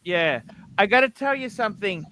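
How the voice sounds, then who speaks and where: A man's voice: sits high, lively intonation, normal speed, pushed and bright, slight background noise, poor audio. A man hosting a casual livestream, opinionated and insistent, swearing as he goes. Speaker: livestream host. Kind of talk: casual livestream chat about cars and watches.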